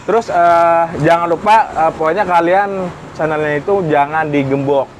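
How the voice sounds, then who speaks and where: A man's voice: fairly high pitch, fairly even intonation, moderate pace, slight background noise, low-quality recording. A man with an Indonesian accent chatting casually as he explains gear to viewers, in an informal, agreeable way. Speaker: vlogger and intercom modification technician.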